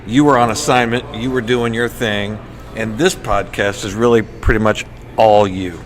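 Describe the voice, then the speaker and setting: A man hosting a podcast in casual chat, enthusiastic and somewhat halting, often repeating words. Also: on the low side, fairly even intonation. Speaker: podcast host. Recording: faint background noise.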